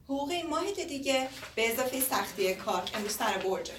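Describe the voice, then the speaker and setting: A woman's voice: high-pitched, animated. An actress playing a caring, worn-out young woman looking after her father, soothing and pleading, in a naturalistic drama. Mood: tired, earnest. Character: caring, worn-out grown daughter of an old man. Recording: echoey, noisy room, distant mic.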